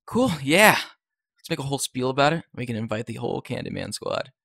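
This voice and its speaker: A man's voice: mid-range voice, very animated, fairly quick, vocal fry, fairly bright tone, no echo, studio quality, exaggerated voice. A man playing a hesitant, good-hearted teenage boy in an audio drama, understated in manner. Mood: agreeable.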